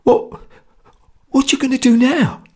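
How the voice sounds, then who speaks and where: Squeaking terrified anarchist. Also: male voice, little pitch movement, unhurried, husky, full character voice. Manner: stammering, squeaking, frantic. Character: terrified cowardly male anarchist, panicky and sweating.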